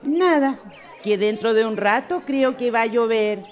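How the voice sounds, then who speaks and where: An actress playing a fussing, caring, grumbling companion in a radio soap opera, chiding and exclamatory with a Costa Rican accent. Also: female voice, fairly high pitch, measured pace, loud music behind the voice, close to an everyday voice.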